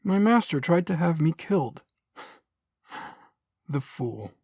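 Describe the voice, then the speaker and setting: An actor playing a courteous, protective older brother in a space audio drama, with a husky voice and formal, measured diction. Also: male voice, fairly high pitch, very animated, darkish tone, no echo, clean studio sound, exaggerated voice. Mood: concerned, courteous.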